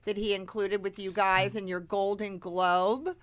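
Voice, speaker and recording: A woman with a mid-range voice hosts a talk podcast. She reads introductions with deliberate, stressed phrasing and asks her guests open questions. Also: mid-range voice, level tone. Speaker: podcast host. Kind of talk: hosted talk show interview.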